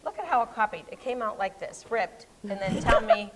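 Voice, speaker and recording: An American woman librarian in casual conversation, chatty and quick to giggle. She often breaks off mid-word and restarts. Her voice sits at an ordinary middle pitch. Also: very noisy. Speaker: co-host, children's librarian. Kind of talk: casual chat. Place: public library.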